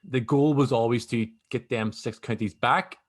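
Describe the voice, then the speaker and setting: A man's voice: medium pitch, moderate pace. A young man with a Northern Irish accent, explaining things in a rambling, casual way and leaning on fillers like "obviously" and "kinda". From Northern Ireland. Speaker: guest. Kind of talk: casual conversation.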